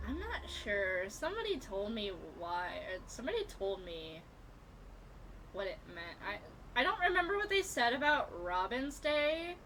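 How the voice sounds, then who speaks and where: A woman's voice: medium pitch, background noise. Casual woman streaming a game. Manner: informal, slangy, reactive. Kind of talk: casual live game commentary. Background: game music.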